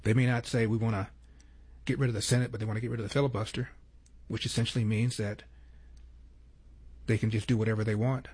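Sardonic male host framing clips, husky tone. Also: fairly low pitch, flat delivery, quick pace, long pauses, a little mellow. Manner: sardonic, skeptical asides. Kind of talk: commentary between clips.

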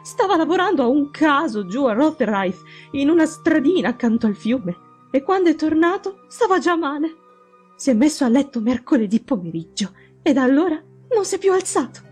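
A woman's voice: high-pitched, sing-song, fairly quick, darkish tone, soft background music, pitched above the performer's own voice. A woman playing a frantic old housekeeper. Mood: anxious pleading. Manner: urgent, pleading, breathless with worry. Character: anxious, frightened older woman housekeeper.

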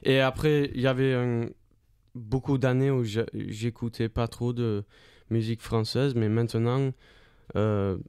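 Slow, smooth low-voiced man, halting French. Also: fairly even intonation, darkish tone, no echo, studio quality. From Australia. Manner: halting French, searching for words. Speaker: interview guest, rapper. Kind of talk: casual chat.

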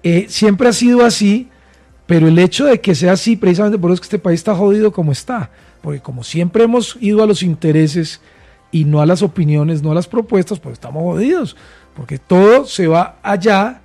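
A Colombian man making his case in a debate, stacking repeated phrases for emphasis. His voice is fairly high for a man yet mellow and dark in tone. Faint music plays behind. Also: expressive, brisk. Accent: Colombian Spanish. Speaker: presidential candidate, former minister. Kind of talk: political debate.

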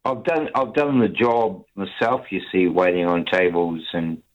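A man's voice: sits low, fairly even intonation, a little slow. An older man calling a radio show, earnest and eager to please, repeating himself. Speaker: caller. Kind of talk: casual phone-in chat. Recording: dull phone line.

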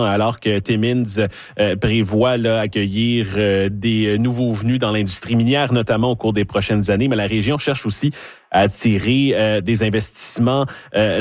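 A man hosting a radio interview, speaking in a measured way with frequent hesitations. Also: lowish voice, dry recording. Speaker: radio show host. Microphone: studio microphone.

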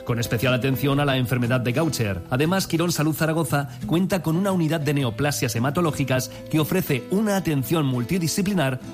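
A man presenting a scripted health segment on the radio in a measured, read-aloud way. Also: on the high side.

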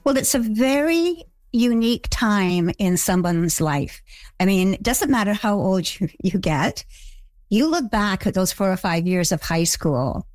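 A woman being interviewed, answering in a thoughtful, measured way and slowing on key phrases. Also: mid-range voice, animated, darkish tone, no echo.

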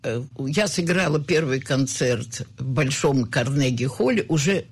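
An older woman from the Soviet Union, a concert pianist giving an interview, talking in a rambling, halting way with many fillers. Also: very deep voice, lively intonation, slightly rough. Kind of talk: reminiscing interview talk.

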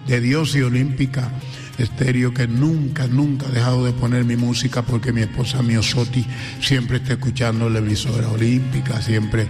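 A man's voice: medium pitch, level tone, normal speed, a little mellow. Dominican guy guesting on the radio, earnest, thankful, mid voice, bit of music under it. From Dominican Republic. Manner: earnest, effusive, grateful, speaks of blessings. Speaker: guest singer. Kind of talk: radio interview. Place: radio studio.

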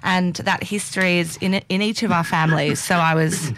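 A woman's voice: on the low side, steady tone, moderate pace, a bit bright, rough recording. A middle-aged woman, a documentary filmmaker, telling stories in an interview. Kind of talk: interview conversation.

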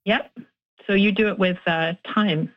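A woman's voice: mid-range voice, steady tone, unhurried. Thoughtful woman linguist, slightly muffled. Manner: thoughtful, many restarts and fillers, laughs easily. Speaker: linguist guest. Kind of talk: radio interview, explaining research.

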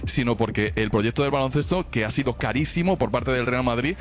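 Male sports journalist, Castilian accent from Spain, very quick colloquial delivery, mid-range voice. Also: mid-range voice. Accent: Castilian Spanish from Spain. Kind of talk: unscripted football debate, colloquial.

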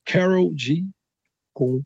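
A man's voice: extremely slow, vocal fry, no room sound, lo-fi sound. A Brazilian man hosting a radio music show from his home studio, chatting casually and joking as he introduces songs. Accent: Brazilian Portuguese. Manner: chatty, joking, lively pitch swings.

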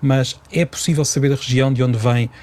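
Man, European Portuguese accent, rapid pace, mid pitch, didactic, emphatic, spontaneous expert conversation. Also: fairly even intonation, darkish tone. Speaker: language expert, regular guest. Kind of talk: unscripted explanatory conversation.

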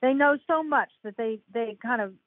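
An older woman with a fairly high voice talks eagerly and laughs a lot as a podcast guest. She comes through a thin, phone-like remote line with a dry sound. Her chat is loose and animated. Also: moderate pace, no room sound. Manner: enthusiastic, laughs often. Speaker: guest author.

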